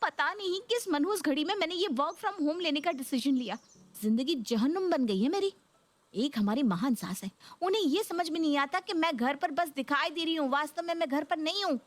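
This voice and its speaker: A woman's voice: high voice, expressive, normal speed, no echo. A woman voicing an exasperated, pleading young working daughter-in-law in a Hindi cartoon story. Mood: fed up.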